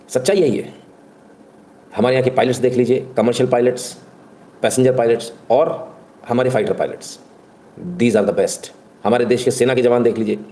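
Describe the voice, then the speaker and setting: A male live-show host with a medium-pitched, dark, relaxed tone. He delivers opinionated news commentary in an emphatic, colloquial way, throwing rhetorical questions at his audience and slipping into English phrases.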